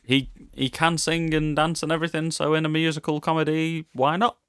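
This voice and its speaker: A man's voice: fairly high pitch, level tone, a little fast, a bit bright, clear, resonant tone, no echo, studio quality. A British man co-hosting a podcast, chatting casually about film news with playful, joking asides. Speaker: podcast co-host.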